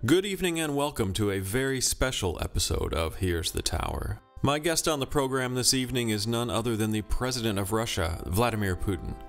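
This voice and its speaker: A man's voice: medium pitch, expressive, fairly quick, gravelly, hardly any pauses, soft background music. A man hosting a mock news interview, formal, polite and persistent in his questioning. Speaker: host and interviewer.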